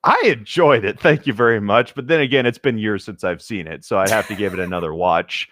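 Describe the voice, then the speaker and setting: A man's voice: fairly low pitch, fairly quick, a bit bright, no echo, clean studio sound. A man co-hosting a comedy read-aloud, chatting and riffing in a casual, joking style. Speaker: co-host reading and riffing on fan fiction.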